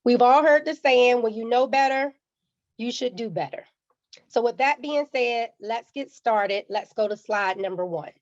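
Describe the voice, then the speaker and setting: A woman's voice: fairly high pitch, a little slow, dry recording, lo-fi sound. Woman giving a teacherly finance talk. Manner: teacherly, checks in with "okay?". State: under the weather, slightly raspy. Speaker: guest speaker on personal finance. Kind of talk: church community finance presentation.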